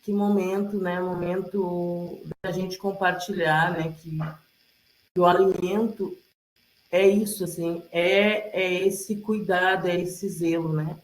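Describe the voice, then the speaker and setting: A woman speaks casually, like someone telling stories aloud. She goes slowly and haltingly, often checking in with listeners, in a roomy, echoey video-call sound. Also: on the low side. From Rio Grande do Sul, Brazil. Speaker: community elder and presenter at a cultural gathering. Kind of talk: casual oral storytelling. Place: online video call, roomy indoor space.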